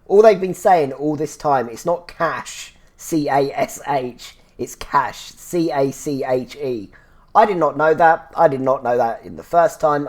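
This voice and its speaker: A man's voice: mid-range voice, darkish tone. A man hosts a casual solo review show. He sounds a little congested and phlegmy, and he talks in a chatty, rambling way with lots of "so yeah" restarts. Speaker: podcast host reviewing a TV series.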